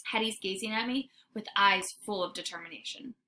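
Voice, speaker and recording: Woman, bright forceful voice, slightly high, dramatic children's read-aloud, faint background noise. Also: fairly quick, poor audio. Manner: dramatic, animated storytelling. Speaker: teacher reading aloud to students. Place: informal homemade recording.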